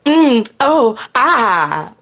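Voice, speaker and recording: A woman's voice: on the high side, very animated, extremely slow, a bit bright, voice pushed up, full character voice. A woman voicing a dramatic, sassy, slangy young heroine in an audiobook-style romance reading. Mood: passionate.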